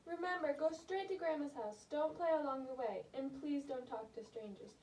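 Woman playing a high, soft motherly storybook elder. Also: moderate pace, natural voice. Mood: caring. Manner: gentle, fussing, motherly. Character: kindly, fretful mother and old granny of storybook. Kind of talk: children's fairy tale acting. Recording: distant, echoey, some background noise.

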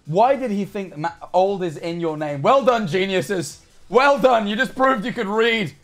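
Animated young man streaming. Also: high-pitched, normal speed, faint music behind. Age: young adult. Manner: animated, sarcastic, swears often. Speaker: livestreamer. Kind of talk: reading and reacting to chat. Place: small bedroom streaming room. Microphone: headset-style studio mic, seated at desk.

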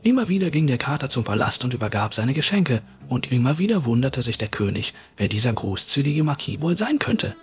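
A man's voice: medium pitch, very animated, fairly quick, hardly any pauses. A man narrating a scripted fairy tale for a podcast. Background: music.

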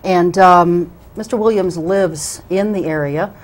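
A woman's voice: on the low side, a little slow. A middle-aged woman hosting a local cable talk show from a small studio, introducing guests with many ums and restarts. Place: small TV studio with curtain backdrop. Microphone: lapel mic.

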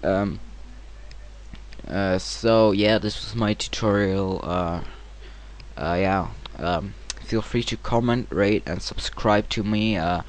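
A man narrating a casual software tutorial in hesitant, informal, non-native-sounding English. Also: on the low side, slow pace. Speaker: tutorial presenter. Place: home computer screen-recording voice-over. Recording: clear steady background noise.

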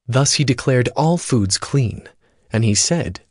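A man's voice: medium pitch, lively intonation, slow, husky, dry recording, studio quality. A man narrating scripture from a script in a dramatized Bible production.

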